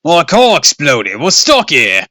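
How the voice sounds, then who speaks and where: A man's voice: mid-range voice, lively intonation, normal speed, pushed and bright, no room sound, clean studio sound. A man voicing a panicked, hot-tempered father who sputters, stammers and shrieks. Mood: frantic.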